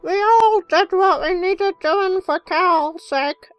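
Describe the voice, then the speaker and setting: A woman's voice: very high-pitched, slow pace, fairly bright tone, no echo, soft background music, exaggerated voice. A woman voicing an elderly country grandmother pony who is caring and folksy, in an audio drama. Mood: earnest. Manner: folksy rural phrasing.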